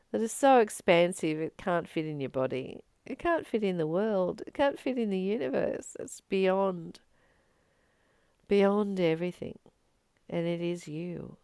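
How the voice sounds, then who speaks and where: A woman gives an informal spiritual talk at a slow, unhurried pace. Her pitch sits in the middle and swings widely as she earnestly leans on repeated words for emphasis. The sound is close and dry. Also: smooth, no echo. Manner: earnest, expressive, emphatic repetition. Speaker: spiritual teacher and channel host.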